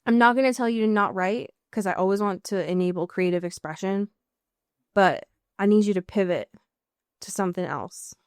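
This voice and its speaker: American woman chatting on a comedy podcast, mock exasperated, stretches words for emphasis, mid pitch, really dry sound. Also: moderate pace, darkish tone, clean tone, no echo. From US Pacific Northwest. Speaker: podcast co-host. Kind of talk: casual comedic podcast chat.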